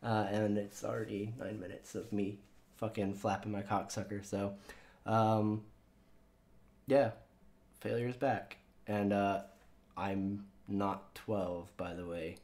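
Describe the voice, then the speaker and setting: A man talks straight to his viewers in a loose, meandering way, dropping curse words and ums as he goes. His voice sits a touch low yet still sounds younger than his years, like casual chat into a desk mic. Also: little pitch movement, takes it slowly, long pauses, a little mellow. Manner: rambling and profane, with many fillers. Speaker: channel host.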